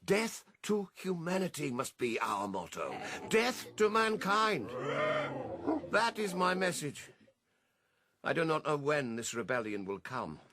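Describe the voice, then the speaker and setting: Hoarse old boar, rousing and deliberate. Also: male voice, a little high, very animated, sharp tone, heavily acted. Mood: rousing, fervent. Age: older. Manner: solemn, rhetorical, deliberate. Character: old boar, rousing visionary leader of farm animals. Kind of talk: radio drama oratory.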